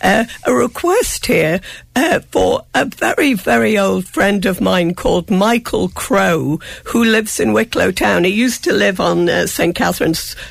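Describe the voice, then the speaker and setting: A woman's voice: mid-range voice, unhurried, vocal fry, no echo. An Irish woman with a chesty cold, giving blunt, sarcastic relationship advice on a radio show. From Ireland. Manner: sarcastic, tart and frank. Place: radio studio.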